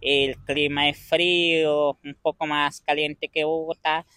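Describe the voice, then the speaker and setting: A young man with an Andean Venezuelan accent answers questions in a measured, thoughtful way. His voice sits a little high and sounds bright and forward. The recording is dry, with no room echo. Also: level tone, clear, resonant tone. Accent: Venezuelan Andean Spanish. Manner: measured, pauses to find words. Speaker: interviewee. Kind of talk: conversational interview answers.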